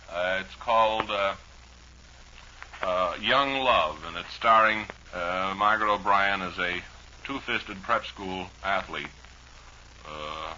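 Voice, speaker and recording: Old noisy 1940s radio recording, guy host with a real sharp deep voice, rambling along dry and jokey. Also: highs cut off. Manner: rambling, dryly humorous, mock-serious asides. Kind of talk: 1940s live radio chat, reading listener mail.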